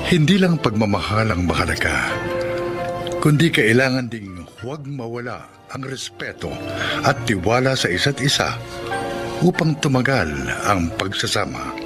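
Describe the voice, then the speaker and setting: A man's voice: mid-range voice, very animated, moderate pace. A man with a Filipino accent reading scripted narration and credits as a radio drama announcer. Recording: clear music bed behind voice.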